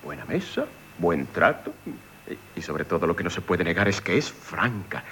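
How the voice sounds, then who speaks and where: Gruff misogynist gentleman, Castilian accent, very animated swings, theatrical bluster, faintly noisy old radio drama. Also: low-pitched, normal speed, exaggerated voice. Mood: grudgingly pleased. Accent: Castilian Spanish. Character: irascible woman-hating gentleman, haughty and gruff. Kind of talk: 1970s Spanish radio theatre acting.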